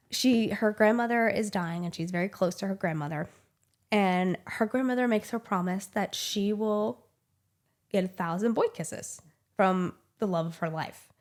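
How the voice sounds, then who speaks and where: Woman, 30s, slight cold in the voice; casual, effusive book-vlog host. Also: medium pitch. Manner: chatty and gushing, many "like" fillers. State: small head cold, voice a little off. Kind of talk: casual book review chat.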